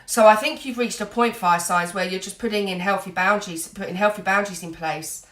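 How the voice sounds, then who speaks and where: A woman's voice: mid-range voice, steady tone, fairly quick, a bit bright, echoey room. A woman giving an earnest, reassuring tarot reading while full of cold, dotting her talk with "you know". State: has a cold, a bit snotty. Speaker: tarot reader.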